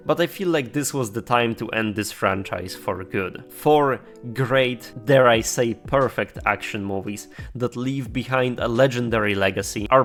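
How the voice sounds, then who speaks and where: A man's voice: medium pitch, fairly bright tone. A man from Poland, reading a scripted, opinionated commentary with a wry, casual tone and some swearing. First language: Polish. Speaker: video essayist.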